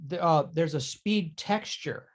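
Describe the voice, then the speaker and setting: A man's voice: on the high side, a little slow. A man talking casually as a guest in a panel discussion about his craft. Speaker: panel guest, accent-certified performer.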